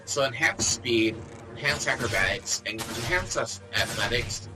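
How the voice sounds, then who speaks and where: A man's voice: medium pitch, lively intonation, a little slow, over music. A man chatting casually in a back-and-forth conversation. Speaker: conversation partner. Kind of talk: casual chat.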